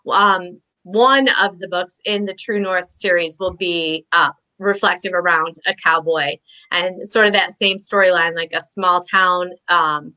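A woman's voice: mid-range voice, bright, forceful tone, lo-fi sound. A woman from Michigan, a guest author chatting casually on a video call, talking with lots of "um"s and small laughs. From Michigan, USA. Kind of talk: casual podcast chat.

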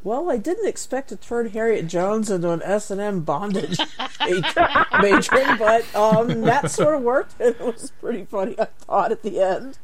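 A woman's voice: mid-range voice, expressive, moderate pace, over a noisy crowd. A woman co-hosting a casual podcast over a Skype call, chatty and often laughing. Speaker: podcast co-host and improv player.

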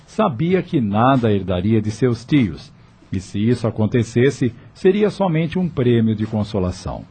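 A man's voice: medium pitch, a little mellow, slightly rough. A man narrating a scripted radio drama in a dramatic, literary storytelling manner. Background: background music.

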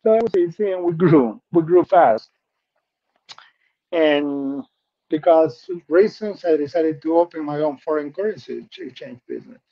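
A man's voice: a little high, lively intonation, normal speed. Peruvian-accented man telling his story, muffled. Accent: Peruvian Spanish. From Peru. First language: Spanish. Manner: earnest, repeats words and restarts often. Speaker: guest. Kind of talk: personal life story interview. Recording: muffled lo-fi audio.

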